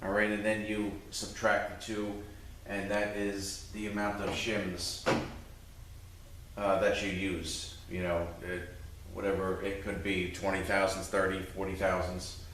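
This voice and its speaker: A man's voice: low voice, completely flat delivery, measured pace, fairly bright tone. A man giving an informal hands-on walkthrough, explaining step by step like a mechanic teaching a repair. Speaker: transmission mechanic demonstrating repair. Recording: roomy echoing space, distant mic, faint noise.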